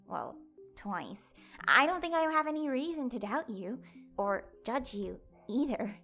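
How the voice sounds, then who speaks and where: A woman playing a kind, awkward young human in an audio drama, hesitant and casual but expressive. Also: fairly high pitch, creaky, exaggerated voice. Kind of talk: audio drama character acting.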